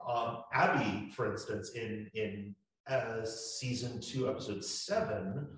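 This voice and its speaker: Slow, halting man in echoey room. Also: fairly low pitch, a bit bright. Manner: halting, searching for words. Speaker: podcast creator being interviewed. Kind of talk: unscripted panel interview talk.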